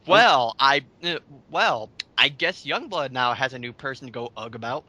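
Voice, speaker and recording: A man's voice: mid-range voice, lively intonation, moderate pace, sharp tone, no echo. A blunt, sarcastic, foul-mouthed man giving mocking commentary on an online post with others.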